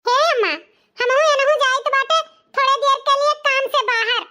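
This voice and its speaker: Woman as a shrill, sly young daughter-in-law. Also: fairly even intonation, normal speed, bright, forceful tone. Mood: wheedling. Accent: eastern Uttar Pradesh. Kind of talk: cartoon comedy dialogue in rural dialect.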